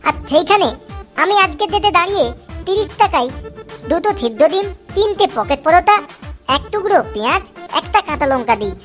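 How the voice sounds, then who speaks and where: A performer voicing a hot-tempered, boastful young male street food seller in a comic cartoon, with a squeaky, lisping, childlike voice and a scolding manner. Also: lively intonation, a little fast. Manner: ranting, scolding. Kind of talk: comic Bengali cartoon dialogue. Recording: loud music behind the voice. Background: music.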